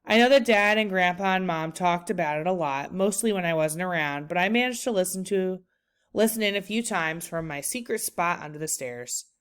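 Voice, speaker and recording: A woman reading a children's book aloud like a teacher, in an expressive, deliberate storytelling manner. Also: fairly low pitch, clean tone.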